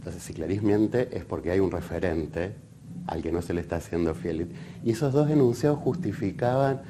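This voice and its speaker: An Argentine man, an academic, giving an unscripted analytical answer in a reflective, halting way, searching for words and repeating phrases. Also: deep voice, background noise. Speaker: academic researcher in communication. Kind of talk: extemporaneous analytical interview talk.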